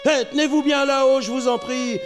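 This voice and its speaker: A blustering storybook monk acted by a man, ranting and swearing at shipmates in a high theatrical voice. He barks orders like a hard drinker, echoing through a large hall with music. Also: steady tone, moderate pace. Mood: boisterous cursing. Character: blustering foul-mouthed hard-drinking monk sailor, bullying and cursing.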